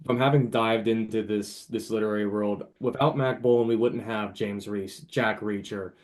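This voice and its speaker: A man's voice: lowish voice, fairly even intonation, normal speed. A man speaking as a podcast guest and author, chatting casually about books in an informal, emphatic, opinionated way. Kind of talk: casual book chat.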